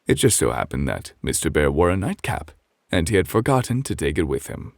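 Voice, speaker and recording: A man playing a calm, refined gentleman who reads a bedtime story as gentle, measured ASMR close to the microphone. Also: a bass voice, vocal fry, no room sound, full character voice. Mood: soothing.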